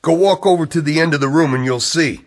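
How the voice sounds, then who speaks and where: An actor playing a grave, embittered conspirator in an old-style radio drama, speaking with ominous, insistent drama. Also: male voice, on the high side, fast. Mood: earnest warning. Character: grave, embittered male conspirator hunting hidden creatures. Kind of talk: 1940s radio drama acting.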